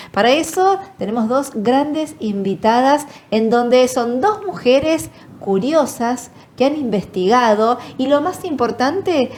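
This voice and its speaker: A woman's voice: sits high, slight background noise. A woman with an Argentine accent hosting an interview, chatting easily and affirming her guests often. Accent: Argentine Spanish. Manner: warm, conversational, frequent affirmations. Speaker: host. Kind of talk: radio or TV interview.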